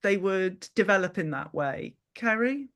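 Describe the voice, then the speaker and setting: A woman's voice: medium pitch, animated, a little slow, fairly bright tone. A woman hosting a panel discussion, speaking in an encouraging, appreciative way to her guests. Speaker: host and interviewer.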